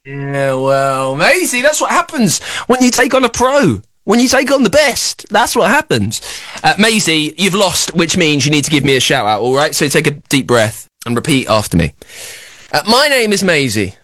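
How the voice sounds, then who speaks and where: A man's voice: a little high, moderate pace, no room sound. A man hosting a children's radio show, running a quiz with a young caller in a playful, teasing, boastful way. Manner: teasing, boastful, exaggerated excitement.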